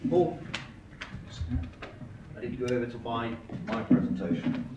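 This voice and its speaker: A man whose voice is hoarse and worn from a cold speaks earnestly and haltingly, restarting his phrases. He is heard from across a big hall that is full of echo. The sound is muffled and a little distant. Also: medium pitch, heavy background noise. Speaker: invited speaker at a public meeting. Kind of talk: public presentation with slides. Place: large echoey meeting hall. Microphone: far from the microphone.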